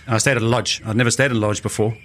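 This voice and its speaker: A middle-aged man with a South African accent, telling his own story reflectively, pausing for emphasis. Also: lowish voice, steady tone, a bit bright. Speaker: conservationist and researcher, interviewee. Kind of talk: personal storytelling in an interview.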